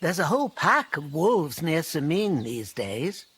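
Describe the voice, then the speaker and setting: A woman's voice: sits low, sing-song, a little slow, a bit bright, breathy edge, heavily acted. An actress playing a practical, matter-of-fact village herb woman in video game dialogue. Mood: helpful, matter-of-fact.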